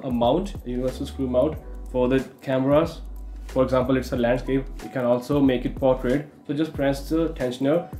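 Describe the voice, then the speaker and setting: A man's voice: mid-range voice, dark tone, reverberant. A young man from India with an Indian accent, speaking English as a second language in a casual tech unboxing with lots of "you know" fillers. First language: not English. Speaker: tech reviewer. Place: indoor studio room with curtains.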